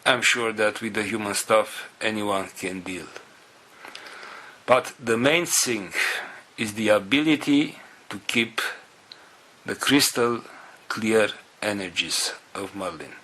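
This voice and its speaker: Slow, bright-voiced man with non-native English accent. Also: lowish voice, rough recording. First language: not English. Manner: solemn, halting delivery in short phrases. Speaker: spiritual group leader. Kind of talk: spiritual talk to followers.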